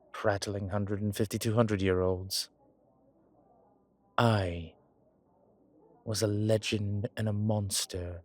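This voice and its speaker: A man playing an ancient vampire commander in an intimate audio roleplay, speaking with formal, old-fashioned diction and a measured, haughty manner. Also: fairly low pitch, a little mellow. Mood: dismissive, proud. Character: ancient male vampire commander, haughty yet tender to his companion. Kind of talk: intimate audio roleplay acting, one side of a conversation.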